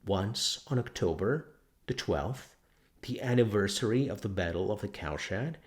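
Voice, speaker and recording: A man's voice: on the low side, lively intonation, measured pace. A man reading a novel aloud as scripted dramatic narration. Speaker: audiobook narrator.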